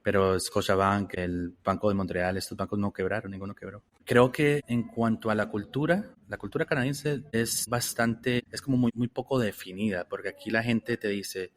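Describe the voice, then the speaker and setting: A man from Costa Rica answering interview questions in a measured, reflective way, often restarting his phrases. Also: on the low side, fairly even intonation. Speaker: interview guest.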